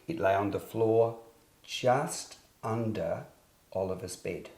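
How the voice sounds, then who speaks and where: A man reading a scripted children's story as storyteller, with expressive, deliberate phrasing in a roomy, echoing space. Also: fairly low pitch.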